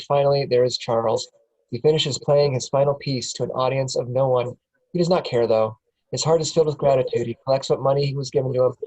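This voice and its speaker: Brief: man reading action lines at a table read, husky voice, mid pitch. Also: a little mellow, low-quality recording. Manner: plain reading, small chuckles and restarts. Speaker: narrator reading stage directions. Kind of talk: script table read narration.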